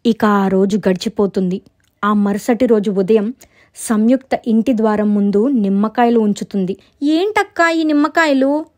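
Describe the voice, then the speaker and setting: A woman's voice: medium pitch, steady tone, normal speed, dark tone. A woman narrating a scripted story as a storyteller.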